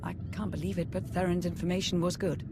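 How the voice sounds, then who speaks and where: An actress playing a resolute, skeptical military advisor, briefing crisply and formally in a video game cutscene. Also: female voice, on the low side, flat delivery, fast talker, noisy background, natural voice. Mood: businesslike, determined.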